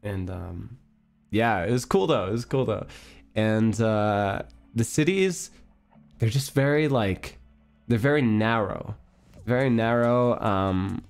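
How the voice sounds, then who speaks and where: A man's voice: on the low side, takes it slowly, faint music behind. Chatty man rambling to his viewers. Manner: rambling and chatty, with restarts and breathy laughs. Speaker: streamer. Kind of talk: casual chat with viewers.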